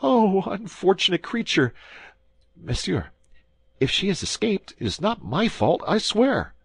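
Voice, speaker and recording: A man voicing a frightened, pleading middle-aged landlord in a shrill, high, frantic voice. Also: sing-song, a little slow, creaky, slightly rough, no echo, exaggerated voice. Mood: panicked.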